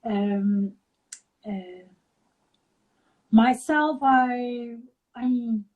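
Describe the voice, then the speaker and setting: A middle-aged woman from Turkey with a Turkish accent, speaking slowly and haltingly as she searches for words in an interview. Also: on the high side, narrow, tinny audio. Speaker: guest artist. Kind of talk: interview over a live video call.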